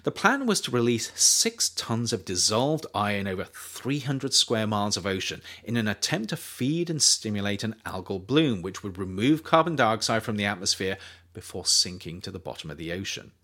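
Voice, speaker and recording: Man, low voice, lively rise and fall, author reading his own nonfiction audiobook, measured delivery. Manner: measured, animated intonation. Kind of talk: audiobook narration.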